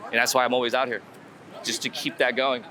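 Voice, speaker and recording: A man's voice: medium pitch, level tone, brisk, sharp tone. A man being interviewed casually as a street photographer, thinking aloud and repeating words as he goes. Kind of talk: casual walk-and-talk interview. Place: outdoors on a city street. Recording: outdoor street recording with city traffic noise. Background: urban ambience and vehicles.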